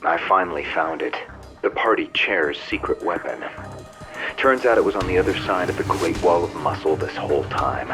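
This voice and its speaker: An actor playing a scheming businessman speaking in a low, measured way in a video game. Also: over music, close to an everyday voice. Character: scheming businessman reporting by phone.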